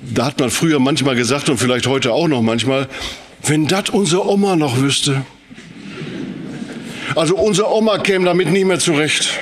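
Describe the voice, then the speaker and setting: A man's voice: a little high, normal speed, pauses often. Man preaching with rhetorical emphasis. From Sauerland, Germany. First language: German. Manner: rhetorical, emphatic, with repetition and questions. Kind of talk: sermon-like public talk.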